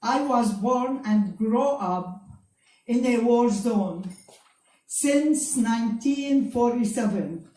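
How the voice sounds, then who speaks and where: A Palestinian woman in her seventies whose first language is Arabic, speaking careful, halting English as a guest speaker to an audience. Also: fairly high pitch, lively intonation, warm tone. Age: 70s. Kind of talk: public talk to an audience. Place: event space before an audience. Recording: roomy hall, speaker far from mic.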